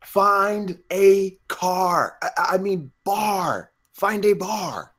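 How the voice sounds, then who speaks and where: A man's voice: sits high, extremely slow, fairly bright tone, full character voice. A man at a script table read playing a harried, brash buddy who is a father of many. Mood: exasperated.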